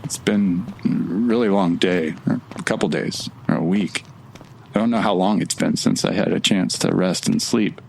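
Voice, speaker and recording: Weary, sardonic male time traveler for audio drama: low, creaky voice, dry muttered naturalistic delivery. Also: moderate pace, a little mellow, a little hiss or hum, poor audio. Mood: weary, wistful.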